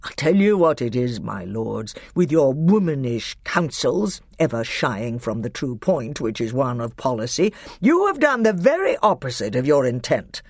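A furious, proud king who growls and roars, his voice leaping upward as he rages, put on by a man reading the story. The pitch swings widely with each outburst. The sound is dry and close, with no room around it. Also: very animated, moderate pace, dry recording. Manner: growling, roaring, blustering. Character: angry proud middle-aged male usurper king.

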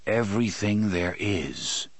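A man voicing a weary, stern gunslinger who speaks calmly and steadily in a lowered voice. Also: dry recording. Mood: calm, solemn. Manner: calm, low, measured. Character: grim, weary older male gunslinger, calm and stern.

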